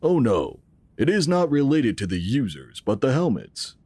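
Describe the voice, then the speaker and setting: An actor playing a calm, assured founder of a futuristic tech organization, explaining in a measured way in an audio drama. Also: male voice, mid-range voice, breathy edge, dry recording. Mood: explanatory, assured. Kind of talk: scripted audio drama acting.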